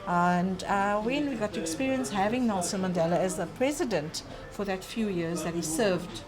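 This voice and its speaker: A middle-aged South African woman from Cape Town, a guest speaking earnestly and thoughtfully, with pauses and fillers. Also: mid-range voice, music in the background. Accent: South African English. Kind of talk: conversational interview.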